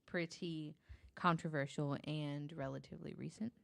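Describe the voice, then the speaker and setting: A woman's voice: deep voice, fairly even intonation, normal speed, vocal fry, a bit bright, no room sound. A woman telling a story casually and informally as a guest on a podcast.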